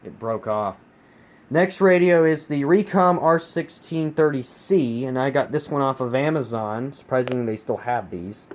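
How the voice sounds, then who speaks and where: A man's voice: medium pitch, normal speed. A man from the United States talks through a casual hobbyist review in a mid-range voice. He stresses points by repeating them. It is recorded on a handheld camera in a room at home, with faint noise behind him. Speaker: collector reviewing his weather radios. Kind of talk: casual product review vlog. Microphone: handheld phone camera, speaker off screen.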